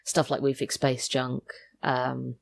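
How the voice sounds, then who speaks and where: A woman's voice: rumbling low, flat delivery, takes it slowly, creaky. A woman in her twenties chatting casually as a podcast guest who writes audio drama. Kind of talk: casual interview chat.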